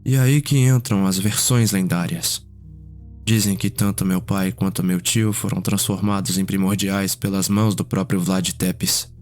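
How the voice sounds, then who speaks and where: A man with a Brazilian accent playing a courteous, reflective vampire who tells his clan's history in a measured ASMR roleplay. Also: low voice, fairly even intonation, a little mellow, faint music behind. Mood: solemn storytelling.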